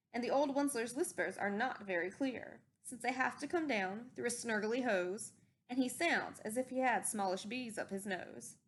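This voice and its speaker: A woman with a high-pitched voice reads a children's picture book aloud. Her delivery is expressive storytelling that follows the rhyme of the verse. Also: slight background noise. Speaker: storybook reader.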